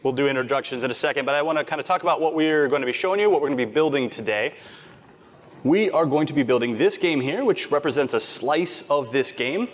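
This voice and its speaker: A man presenting a game-building workshop on stage in a casual, brisk way. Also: a little high, animated. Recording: reverberant hall with faint music.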